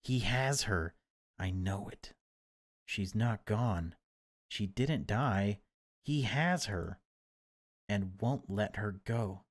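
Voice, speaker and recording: A man's voice: fairly low pitch, very slow, long pauses. A man voicing a distraught older father in a horror game, breathless and pleading, his voice cracking. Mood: quiet anguish, pleading.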